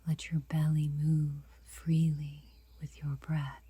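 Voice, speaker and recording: A woman guiding a meditation in a soft, breathy whisper, unhurried and gentle, leaving long pauses. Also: deep voice, flat delivery, no echo. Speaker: meditation guide.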